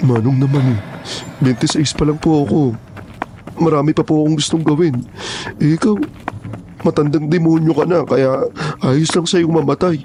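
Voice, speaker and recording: A man acts out a young man hunted by monsters in a horror audio drama. He pleads timidly and respectfully in a fairly high, soft-edged voice. Faint ambient noise sits behind him. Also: fairly even intonation, normal speed, long pauses, lightly acted. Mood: panicked pleading. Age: young adult.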